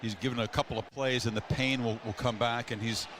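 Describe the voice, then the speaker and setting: A man's voice: mid-range voice, fairly even intonation, a little fast. A man doing professional play-by-play on a football broadcast. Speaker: TV play-by-play announcer. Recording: noisy game broadcast feed.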